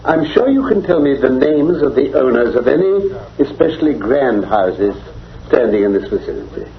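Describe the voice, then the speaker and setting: An actor playing a brisk, confident, analytical detective in an old-time radio drama, speaking precisely and with authority. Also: male voice, mid-range voice, big pitch swings. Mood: brisk, courteous. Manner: precise, clipped, authoritative deduction. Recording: dull vintage radio recording.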